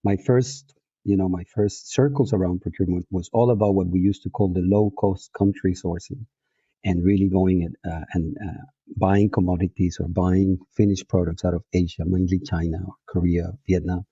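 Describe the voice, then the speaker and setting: Husky Colombian-accented man, very dark voice. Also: low voice, fairly even intonation, moderate pace, no echo. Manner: reflective, frequent restarts and fillers, laughs easily. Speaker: guest. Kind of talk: casual interview chat. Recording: dull, muffled audio.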